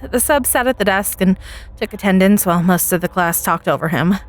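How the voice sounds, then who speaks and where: A woman playing an anxious high school freshman girl who tells her story in a hesitant, stammering way. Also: brisk, natural voice. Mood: anxious, recounting. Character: nervous teenage freshman girl recording during a school lockdown. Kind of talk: dramatic first-person audio story narration.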